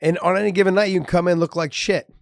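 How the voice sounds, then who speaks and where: A man in his forties, a former fighter co-hosting a casual sports podcast, laid-back and quick to laugh. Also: sits high, fairly even intonation, creaky, no room sound.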